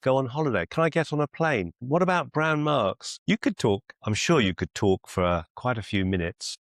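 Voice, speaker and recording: A man coaching one-to-one on a video call as a mentor, deliberate and encouraging, often repeating phrases for emphasis. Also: medium pitch, animated, no echo, clean studio sound. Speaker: business mentor and clinician.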